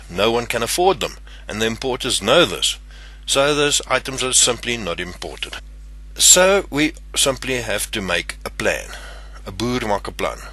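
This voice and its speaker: A man's voice: fairly low pitch, bright, forceful tone. An older man from South Africa with a South African English accent, hosting his own channel in a scripted, earnest and somewhat stiff delivery. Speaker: channel host. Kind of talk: scripted talk to camera.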